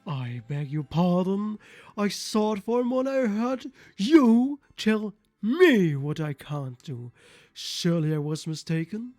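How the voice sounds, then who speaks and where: A woman's voice: medium pitch, big pitch swings, dark tone, dry recording, voice pushed up. A woman with a German accent voicing a haughty, entitled female cat in a visual novel, with formal, pompous phrasing. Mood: offended, indignant. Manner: formal, pompous, slow and theatrical. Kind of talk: acted reading of a visual novel. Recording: music behind the voice.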